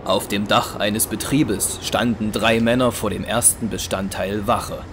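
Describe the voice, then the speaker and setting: A man's voice: fairly low pitch, fairly even intonation, unhurried, noisy background. A man narrating a scripted audio drama. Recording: clear music behind.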